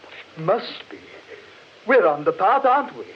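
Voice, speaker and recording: An actor playing a watchful gentleman who senses evil, in a dramatic, foreboding 1940s radio horror style. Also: high voice, very animated, normal speed. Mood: alarmed. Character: Danvers, watchful gentleman sensing evil in woods. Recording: muffled, noisy old 1940s radio recording.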